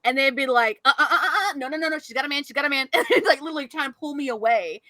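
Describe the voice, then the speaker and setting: A woman co-hosting a podcast, telling a story in an animated way and laughing often. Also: sits high, racing, dry recording. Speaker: podcast co-host. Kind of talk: casual storytelling chat.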